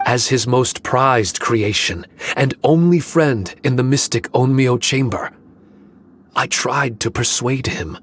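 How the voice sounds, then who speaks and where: A man's voice: mid-range voice, a bit bright, breathy edge, full character voice. A man voicing an ancient, loyal guardian spirit in a video game, speaking formally with solemn, wistful weight. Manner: solemn, formal, measured delivery. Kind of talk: dramatic video game dialogue.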